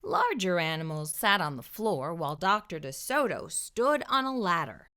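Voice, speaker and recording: A woman hosting a children's storytime, reading a picture book aloud in an expressive narrating style. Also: on the low side, normal speed. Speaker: children's storytime host and reader.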